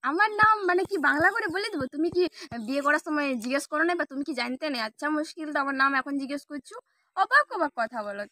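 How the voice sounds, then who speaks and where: High-pitched, fast, nagging Bengali village woman. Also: lively intonation, bright, forceful tone, no room sound, studio quality. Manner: nagging, scolding, insistent. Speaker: prank caller posing as the wife of the person called. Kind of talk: prank phone call, casual spoken Bengali.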